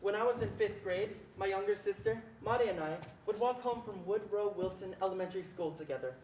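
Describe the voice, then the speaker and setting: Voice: mid-range voice, brisk, a little mellow, close to an everyday voice. A young performer playing a protective older brother who recalls his childhood in a readers theatre narration. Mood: solemn, remembering. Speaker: student performer. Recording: echoey room, faint background noise.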